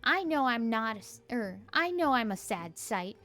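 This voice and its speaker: A woman's voice: on the high side, sing-song, moderate pace, sharp tone, voice pushed up. A woman voicing a proud, arrogant dragon in video game dialogue, with a boastful, threatening manner. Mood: defiant. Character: haughty, proud dragon who lost its powers.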